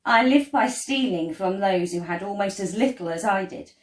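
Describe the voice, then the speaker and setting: A woman reads a children's story aloud expressively, with deliberate phrasing and dramatic pauses. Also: mid-range voice, lively intonation. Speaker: storyteller reading a picture book. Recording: roomy, echoey indoor sound.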